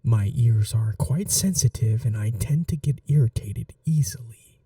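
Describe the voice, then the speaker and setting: A man voicing an ancient, cunning male vampire lord in a whispered ASMR roleplay, courtly and seductive, with a husky, half-whispered voice. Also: medium pitch, lively intonation, dark tone, dry recording, studio quality, distant mic. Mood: predatory, menacing. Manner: courtly, slow and seductive, turning menacing.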